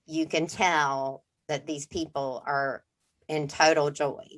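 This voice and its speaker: Middle-aged woman, podcast co-host, low-pitched and unhurried, chatty storyteller who acts out quotes. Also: little pitch movement. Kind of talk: casual reflective chat.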